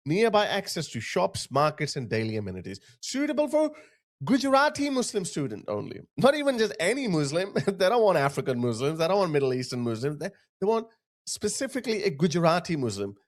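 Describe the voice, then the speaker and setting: A man from Pakistan giving emphatic, sarcastic opinion commentary. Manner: emphatic, sarcastic, big pitch swings.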